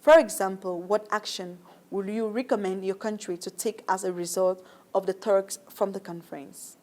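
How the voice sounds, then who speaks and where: A woman interviewing a guest and asking formal questions in a composed, measured way. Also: medium pitch, fairly even intonation, darkish tone.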